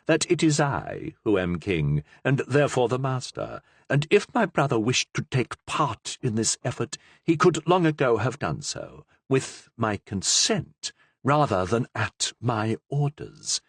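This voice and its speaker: A man's voice: medium pitch, big pitch swings, normal speed, gravelly, slightly rough, dry recording, voice pushed up, full character voice. A man voicing a proud young king in an audiobook, speaking curtly and with authority. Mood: stern. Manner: curt, authoritative. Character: stern, proud young king, curt and commanding.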